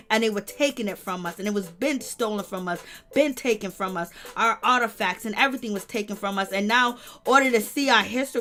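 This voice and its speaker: A hoarse woman hosting a livestream, speaking fervently and greeting viewers in the chat. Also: a little high, a bit bright. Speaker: livestream host. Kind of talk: impassioned livestream commentary.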